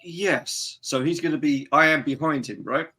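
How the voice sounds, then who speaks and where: A man's voice: on the high side, normal speed, pushed and bright. A man talking casually as a player in a tabletop game, explaining his moves.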